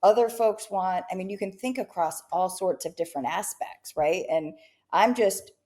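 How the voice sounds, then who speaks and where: A woman's voice: lowish voice, moderate pace, a little mellow. A woman co-hosting a business podcast, talking in an upbeat casual way and often checking in with "right?". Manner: upbeat, tags many points with "right?". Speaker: podcast co-host.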